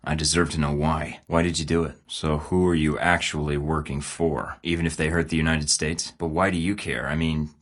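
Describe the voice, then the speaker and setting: A man's voice: extremely low pitch, level tone, moderate pace, hardly any pauses. An actor playing a blunt, sarcastic male spy agent in video game dialogue, with dry, clipped lines. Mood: terse.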